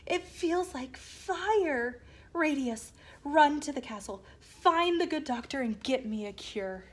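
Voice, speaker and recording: A woman voicing a pained knight father in a storybook, wailing in a drawn-out way. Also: high voice, animated, pitched above the performer's own voice. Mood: pained, urgent. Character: pained knight father moaning over a bellyache.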